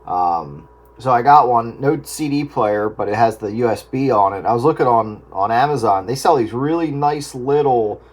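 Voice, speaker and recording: A man's voice: medium pitch, normal speed. A man having a casual, unscripted chat over the radio.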